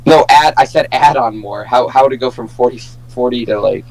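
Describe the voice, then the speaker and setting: A man's voice: medium pitch, fairly quick, darkish tone, poor audio. A young man co-hosting a podcast, chatting casually and telling stories among friends. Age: young adult. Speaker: podcast co-host.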